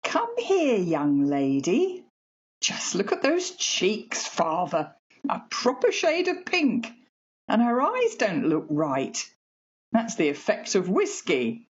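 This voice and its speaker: A woman acting in a radio play as a brisk, wry, fussing mother with a bossy, scolding manner. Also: mid-range voice, huge pitch swings, breathy edge, muffled recording, heavily acted. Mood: scolding, teasing.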